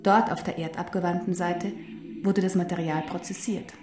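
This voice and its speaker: An actress in a German radio drama playing a knowing teacher who explains history in a deliberate, emphatic way. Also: female voice, lowish voice, fairly even intonation, reverberant, natural voice. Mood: matter-of-fact, emphatic. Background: music.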